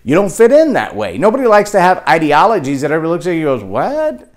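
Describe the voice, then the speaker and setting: A man from Texas with a Texan accent, giving an animated leadership talk that acts out quoted voices. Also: a little high, fast talker. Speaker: leadership speaker. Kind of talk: motivational talk to camera.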